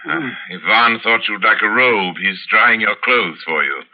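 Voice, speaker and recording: An actor playing a suave, boastful foreign general and big-game hunter with quiet menace, in courtly, theatrical golden-age radio drama style. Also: male voice, on the low side, expressive. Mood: courtly, urbane. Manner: courtly, theatrical, drawn-out emphatic phrasing. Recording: muffled vintage radio recording.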